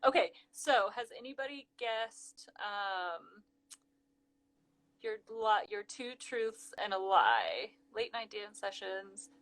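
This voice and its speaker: A woman hosting a casual live interview, reading viewer questions with upbeat, quick affirmations. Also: mid-range voice, animated, a bit bright, rough recording. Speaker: host.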